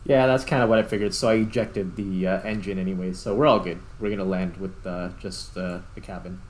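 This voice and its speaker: A man's voice: low-pitched, fairly even intonation, a little fast. A man giving casual gameplay commentary, rambling and thinking aloud as he hosts. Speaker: gaming video host.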